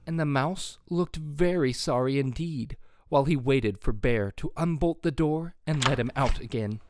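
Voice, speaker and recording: A man reading a children's storybook aloud with expressive, animated narration. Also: mid-range voice, normal speed.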